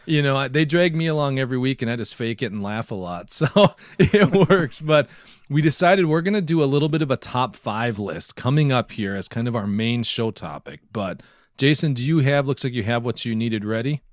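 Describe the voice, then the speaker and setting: A man talks casually as a podcast co-host in a close, dry recording. His voice sits at a medium pitch, and he jokes along, often laughing through his sentences. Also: expressive, brisk, no echo. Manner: jokey, laughs often while talking.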